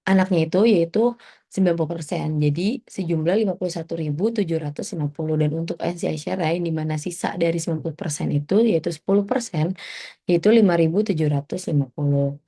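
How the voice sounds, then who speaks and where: Young woman, lowish pitch, dark relaxed tone, informal student presentation, conversational step-by-step explaining. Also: fast talker, slightly rough. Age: young adult.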